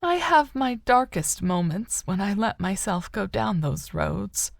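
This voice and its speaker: A woman narrator voicing an older mother, grieving and wistful yet still hopeful, with a soft, sorrowful, tender manner in an audiobook. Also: medium pitch, sing-song, a little slow, gravelly, no room sound, clean studio sound. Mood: haunted, sad.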